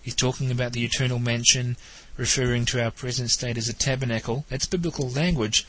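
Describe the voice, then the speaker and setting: Host: New man, congested nasal tone, bright edge, wry and probing commentary. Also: medium pitch, fairly even intonation, a little fast, rough recording. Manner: wry, questioning, lightly teasing. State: nasal, stuffed up from hay fever. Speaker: talk show host. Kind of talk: spoken theology commentary monologue.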